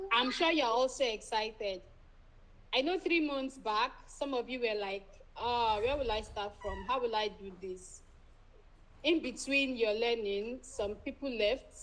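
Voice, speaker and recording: A woman with a Nigerian accent co-hosting an event informally, with playful, animated phrasing. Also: fairly high pitch, poor audio. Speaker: event co-host.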